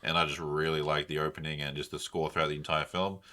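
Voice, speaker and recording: A man's voice: a bass voice, little pitch movement, fast talker, pushed and bright. A man co-hosting a podcast, chatting casually about films in a rambling, slangy, enthusiastic way. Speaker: podcast co-host. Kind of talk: casual film discussion.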